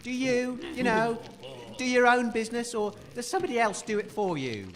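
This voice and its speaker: An actor playing an eager, bumbling, naive radio interviewer in a comic audio drama, excitable and awkward. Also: medium pitch, moderate pace, natural voice.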